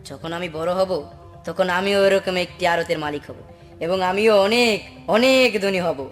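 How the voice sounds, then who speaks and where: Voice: mid-range voice, normal speed, soft background music, natural voice. An actor playing a polite, eager poor boy with big ambitions in a dubbed cartoon. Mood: dreamy, greedy.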